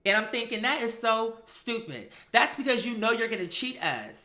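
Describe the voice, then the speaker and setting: An American live-stream host telling stories casually in an animated, emphatic, slangy way, acting out exchanges. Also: medium pitch, normal speed.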